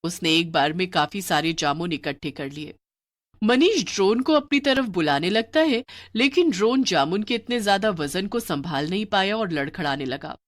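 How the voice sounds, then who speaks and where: A woman's voice: lowish voice, lively intonation, normal speed. A woman reading a scripted story as its narrator.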